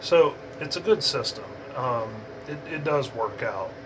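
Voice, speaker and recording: A man giving a casual, unscripted explainer in a halting, rambling, informal way. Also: medium pitch, expressive, background noise. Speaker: amateur radio hobbyist giving an introduction.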